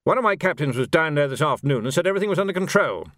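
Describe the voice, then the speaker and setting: A man's voice: a little high, lively intonation, racing, fairly bright tone, no echo, studio quality, pitched above the performer's own voice. A man voicing a newly promoted army major who sounds prim and flustered. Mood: uneasy.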